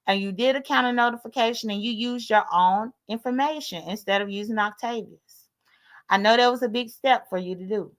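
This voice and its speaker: American lady late 30s, kinda high voice, sassy livestream chat, super blunt, cusses a lot. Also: fairly quick. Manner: blunt, sassy, swears freely. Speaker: livestream host. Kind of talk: casual livestream chat, airing grievances.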